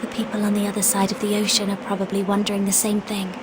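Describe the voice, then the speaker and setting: An actress playing a gentle, wistful young woman in a video game, speaking in a soft, reflective way. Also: mid-range voice, completely flat delivery, fast talker, natural voice. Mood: wistful, tender. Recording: loud ambient noise over voice.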